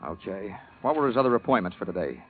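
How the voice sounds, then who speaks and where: A man's voice: deep voice, big pitch swings, fast, slight background noise. An American actor playing a wisecracking, hard-boiled male insurance investigator in an old radio drama, with a wry, clipped delivery. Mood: brisk, probing. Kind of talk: 1940s-50s radio detective acting, hard-boiled narration. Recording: tinny vintage radio broadcast recording.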